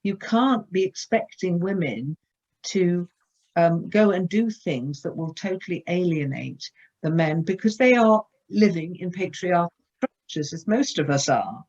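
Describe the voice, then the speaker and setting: A woman's voice: on the low side, lively intonation, unhurried, a little mellow, dull audio. A woman speaking as an author in a virtual panel discussion, earnest and hesitant, with many fillers.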